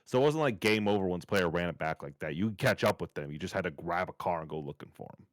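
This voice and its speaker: A man's voice: deep voice, animated, quick pace, no room sound, studio quality. A man playing a wry, exasperated sports-show co-host in a scripted podcast table read, chatting casually with some swearing. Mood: wry exasperation.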